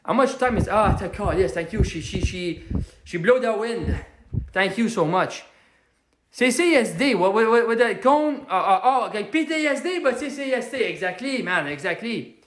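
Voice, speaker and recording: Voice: mid-range voice, lively intonation, moderate pace, echoey room, slight background noise, natural voice. Someone doing a ranting Québécois hothead. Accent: Québécois French. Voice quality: crude, swearing, broken English mixed with French. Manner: loud ranting, self-styled Quebec Hulk. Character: hot-headed working-class Quebec man who is angry all day. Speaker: character comedian on a livestream. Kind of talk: ranting comedy livestream, answering viewer comments.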